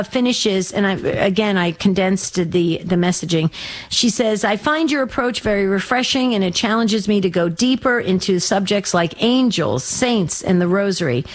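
Effusive older American woman hosting talk radio. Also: medium pitch. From New Jersey, United States. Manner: effusive, conversational, direct address to listeners. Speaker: radio call-in show host. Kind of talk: informal talk radio monologue.

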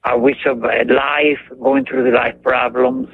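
An older man from Cuba with a Cuban accent, calling in to a radio show and talking haltingly, searching for words. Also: mid-range voice, steady tone, vocal fry. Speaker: caller. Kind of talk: call-in radio chat. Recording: tinny phone line with faint noise.

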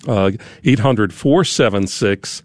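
Hoarse male radio host, dry studio sound. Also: on the low side, lively intonation, takes it slowly, no room sound. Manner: friendly, leads prayers. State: hoarse, getting over a cold. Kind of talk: fundraising talk radio.

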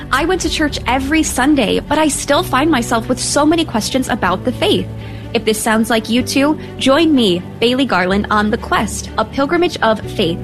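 A woman hosting a radio show, reading a scripted promo in an inviting way. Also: on the high side.

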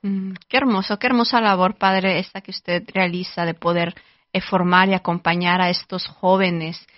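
A woman's voice: lowish voice, steady tone, normal speed, no echo. A woman hosting an interview, speaking admiringly and conversationally. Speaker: host. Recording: dry, dull sound.

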